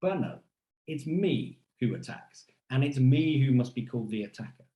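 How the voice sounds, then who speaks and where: A man gives a scripted keynote in the first person, with a roomy echo around his voice. His delivery is deliberate and rhetorical, leaning on emphatic pauses. A wry edge runs through the narration. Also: medium pitch, expressive, highs cut off. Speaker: academic keynote speaker.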